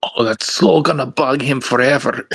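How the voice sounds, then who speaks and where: A man's voice: mid-range voice, moderate pace, vocal fry, fairly bright tone, breathy edge, no room sound, soft background music, rough recording. A man playing a tabletop game, speaking in casual roleplay banter.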